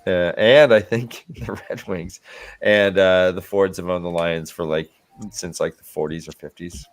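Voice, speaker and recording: A man's voice: low voice, fairly even intonation, a little slow. A man talking casually on a sports panel show, informal and self-deprecating. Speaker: fantasy football show panelist.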